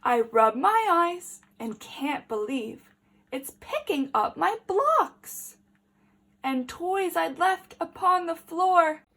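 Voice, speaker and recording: A woman teacher reading a picture book aloud to children in an animated, deliberate way, pausing to ask questions. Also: very high-pitched.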